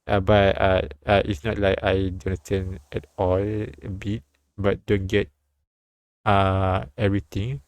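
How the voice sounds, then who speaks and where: A man live streaming, reading a visual novel aloud haltingly with casual comments and stumbles over words. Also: deep voice, level tone, no room sound. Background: light game music.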